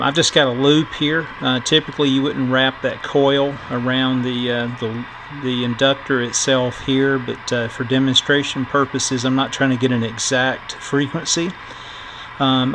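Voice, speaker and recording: A middle-aged man giving a casual electronics tutorial in a halting, thinking-aloud way, as the host of a repair video. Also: mid-range voice, level tone. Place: indoor workbench. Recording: clear background noise.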